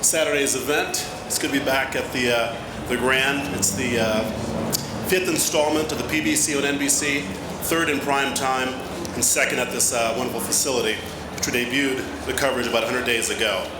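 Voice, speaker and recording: A man's voice: medium pitch, fairly even intonation. A middle-aged man, a TV sports producer, speaking at a podium with frequent hesitations. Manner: halting, with many uh fillers. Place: large arena press conference stage. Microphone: podium microphone. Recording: echoey hall with noise.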